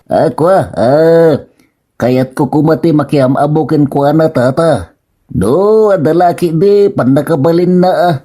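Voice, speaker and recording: A man's voice: fairly high pitch, a little slow, warm tone. A man playing an eager, naive young suitor in an Ilocano radio comedy drama, polite and hesitant, with an Ilocano Filipino accent.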